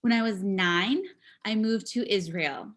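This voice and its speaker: A woman giving a live spoken interpretation of a signing performer, speaking in halting bursts with pauses and restarts, over a video call. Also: medium pitch. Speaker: sign language voice interpreter.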